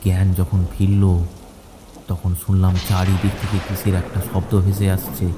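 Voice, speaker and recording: A man's voice: low-pitched, completely flat delivery, normal speed, noisy background. A man narrating a scripted first-person horror story in a dramatic, suspenseful way. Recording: loud music and rain behind. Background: music and rain sound effects.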